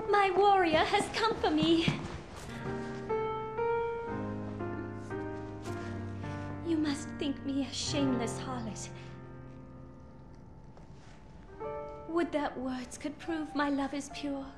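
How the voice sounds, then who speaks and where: An actress playing a delicate, refined duchess in a fantasy video game, with courtly archaic phrasing. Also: female voice, high voice, moderate pace, over loud music, lightly acted. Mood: yearning, anxious.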